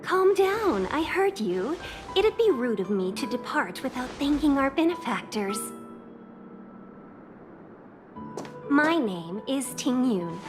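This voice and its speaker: High-pitched young female game envoy: silver-tongued, polite, formal, over music. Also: sing-song, unhurried. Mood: polite, composed. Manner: formal, polite, flowery speech. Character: courteous, silver-tongued young woman merchant guild envoy. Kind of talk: video game voice acting.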